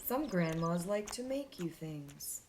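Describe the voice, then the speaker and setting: A woman's voice: fairly low pitch, expressive, takes it slowly, very noisy. A woman hosting a children's storytime, reading a picture book aloud in simple narrated lines. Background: music.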